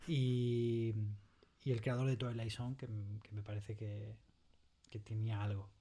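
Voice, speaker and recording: A man with an accent from Spain speaking casually and a little haltingly as a studio interview guest. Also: fairly low pitch, completely flat delivery, mellow. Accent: Spanish from Spain. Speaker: interview guest, audio drama creator. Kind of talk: casual chat. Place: recording studio.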